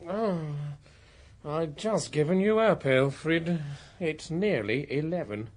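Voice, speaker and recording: Slow, fussy, dour Englishman. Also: a little high, expressive. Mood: worried, reproachful. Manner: disapproving, plodding. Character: dour, fussy, practical Edwardian English male cousin. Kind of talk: radio drama acting.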